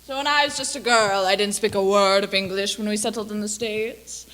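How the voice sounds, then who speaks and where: A woman playing a brash female Hollywood agent in a dramatic stage monologue, speaking deliberately with long pauses. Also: mid-range voice, steady tone, fairly bright tone, natural voice. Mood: wistful. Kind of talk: theatrical dramatic monologue for speech competition.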